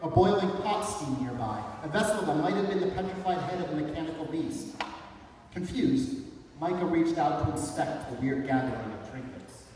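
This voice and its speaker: A man's voice: on the high side, expressive, moderate pace, darkish tone. A man giving a dramatic reading of a story aloud, recorded with a distant microphone in a large room. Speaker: author reading from a book. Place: large echoey room at an elementary school. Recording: very echoey hall from far off, faint noise.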